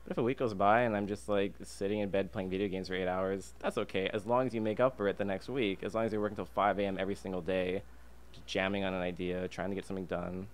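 Man, Canadian accent, low voice, podcast guest, casual chat, some ambient noise behind. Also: fairly even intonation, quick pace. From Alberta, Canada. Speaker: podcast guest, freelance illustrator and designer. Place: podcast booth inside a diner. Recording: clear background ambient noise.

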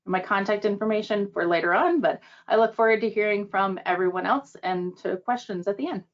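A woman giving a measured webinar presentation with a few restarts, heard over a video call. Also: medium pitch. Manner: measured, steady, some restarts. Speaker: government program manager presenting. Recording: dull lo-fi call audio.

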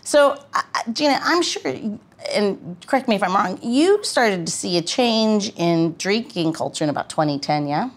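A woman's voice: mid-range voice, animated, moderate pace. A woman hosting a podcast in a casual, chatty style. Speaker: podcast host.

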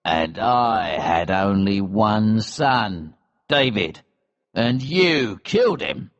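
A grim older smuggler voiced by a man from an audio storybook, very deep and slow. He speaks with cold, deliberate control. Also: animated, highs cut off, heavily acted. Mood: cold, vengeful. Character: grim, vengeful older smuggler, fatherly to a boy. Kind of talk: acted storybook dialogue.

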